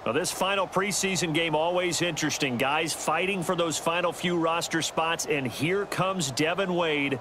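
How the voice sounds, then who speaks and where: A man as a measured American play-by-play football announcer in a video game. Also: on the high side, noisy background, natural voice. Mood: anticipatory. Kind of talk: commentary.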